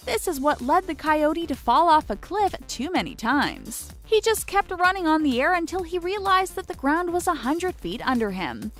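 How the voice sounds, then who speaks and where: A woman narrates a scripted countdown video in a high voice. Her intonation is lively, swinging up and down through each sentence, with faint music playing behind her.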